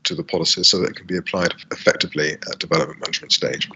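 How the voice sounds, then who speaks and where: Low-voiced, croaky man at a hearing. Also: level tone, bright, forceful tone, dull audio. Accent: British English. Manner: halting, many fillers and restarts. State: dry, croaky throat. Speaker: council planning witness. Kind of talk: formal hearing statement. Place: air-conditioned room. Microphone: video call.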